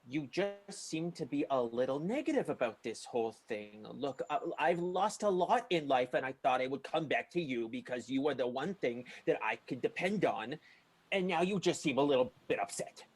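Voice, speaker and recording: Man as a husky, needy soap lover. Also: mid-range voice, animated, normal speed. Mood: hurt, pleading. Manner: earnest, pleading, melodramatic. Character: needy, wounded soap-opera male lover. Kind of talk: improvised radio soap acting.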